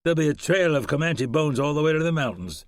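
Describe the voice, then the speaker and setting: A man voicing a tough frontier man who talks in a blunt, crisp drawl. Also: on the high side, animated, a little fast, no echo, studio quality, voice pushed up.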